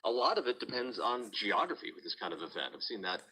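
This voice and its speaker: A man's voice: fairly low pitch, big pitch swings, fast, pushed and bright, husky, low-quality recording. A man working as a TV meteorologist, giving live severe weather commentary in a conversational, explanatory way.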